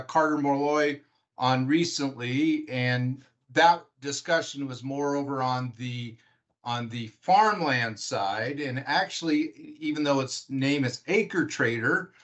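A man's voice: medium pitch, fairly bright tone, lo-fi sound. A middle-aged man from Washington State with an American accent, hosting a conversational podcast interview. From Washington State, United States.

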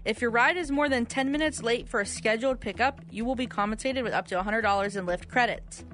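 A woman's voice: fairly high pitch, fast talker, fairly bright tone. A woman reading trivia questions as a quiz host on morning radio, in a studio with music underneath. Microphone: studio radio microphone.

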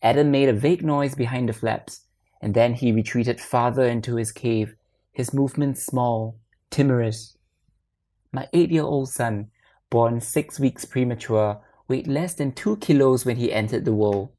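A woman reading a literary short story aloud as narrator, in a measured and expressive manner. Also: very deep voice.

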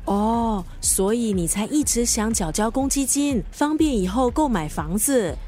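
A woman's voice: on the high side, animated, measured pace, warm tone, faint music behind. An actress playing a blunt, playful friend in a radio drama, theatrical and direct in her delivery. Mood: advising. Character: blunt, breezy, playful woman friend who jokes grandly.

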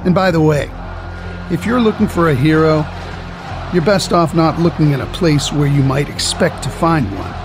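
Guy narrating a sports doc, kinda higher voice, calm and measured, faint music behind. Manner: measured, reflective. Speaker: documentary narrator. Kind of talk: scripted narration.

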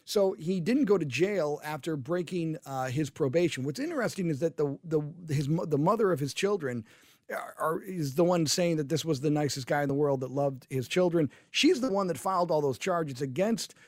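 A man's voice: on the high side, expressive, normal speed, a little mellow, dry recording. A man hosting talk radio, speaking in an impassioned, rambling way with frequent restarts, into a studio microphone. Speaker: radio talk show host.

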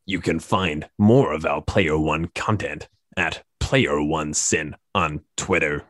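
A man hosting a student radio show, giving brisk station announcements. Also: very deep voice, fairly bright tone, no echo, studio quality. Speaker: radio host.

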